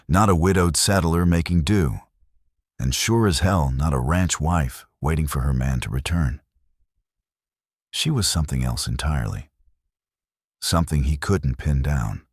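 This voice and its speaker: A man reading scripted western romance narration in a measured, dramatic storytelling manner, with a gravelly voice. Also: very deep voice, darkish tone, no room sound, studio quality. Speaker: audiobook narrator.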